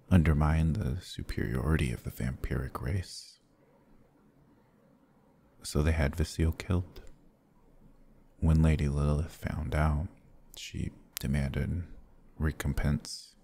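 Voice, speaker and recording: A man voicing a courtly, gentle vampire lord in a fantasy ASMR roleplay, speaking in a formal, measured, soft-spoken way. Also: fairly even intonation, heavily acted. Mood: solemn, measured. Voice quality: husky, low.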